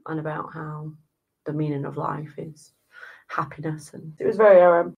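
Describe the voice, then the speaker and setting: A woman's voice: low voice, fairly even intonation, moderate pace, vocal fry, husky, muffled recording. A woman in her thirties co-hosting a podcast in casual chat. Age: 30s. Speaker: podcast co-host.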